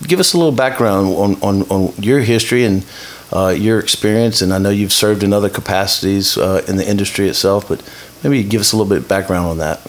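Lower-voiced man hosting an interview. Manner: wordy, halting, repeats words. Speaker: host interviewing a guest. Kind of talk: conversational interview.

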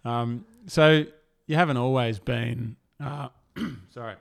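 A man hosting a podcast in a relaxed, chatty way, with frequent fillers like yeah. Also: mid-range voice, takes it slowly, vocal fry. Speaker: podcast host. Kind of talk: casual podcast interview.

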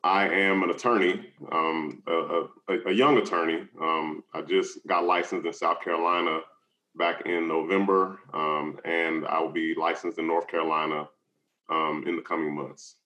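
Deep-voiced man of 28, hesitant panel guest, roomy echo. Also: steady tone, normal speed, pushed and bright. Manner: hesitant, many fillers. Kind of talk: self-introduction.

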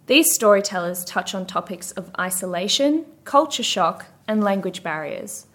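A woman hosts a podcast and opens it with a scripted introduction. She reads clearly and at a measured pace, with a mid-range voice. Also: medium pitch, a bit bright. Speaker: podcast host.

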